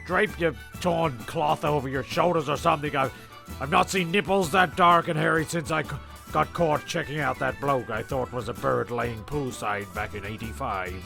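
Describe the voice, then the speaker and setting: A man with a gravelly voice acting out scripted comedy dialogue. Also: on the high side, moderate pace, bright, forceful tone, soft background music. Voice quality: gravelly, creaky.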